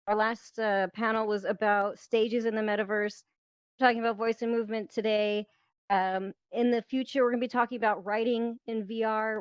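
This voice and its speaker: A woman's voice: mid-range voice, clear, resonant tone, dry recording. Welcoming woman, dull lo-fi sound. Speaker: team leader hosting a panel. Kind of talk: friendly informal panel introduction. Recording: dull lo-fi online voice chat.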